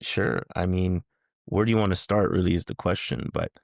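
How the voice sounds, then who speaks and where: A man's voice: deep voice, expressive, normal speed, vocal fry, no echo, studio quality. A man in his thirties from Canada, speaking as a guest in a casual interview, hesitant with many fillers and restarts. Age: 30s.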